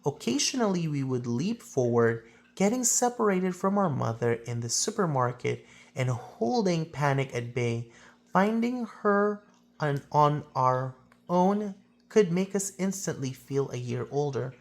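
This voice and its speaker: A woman reading a children's picture book aloud as a storyteller, with theatrical phrasing and drawn-out emphasis. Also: low voice, very animated, a little mellow.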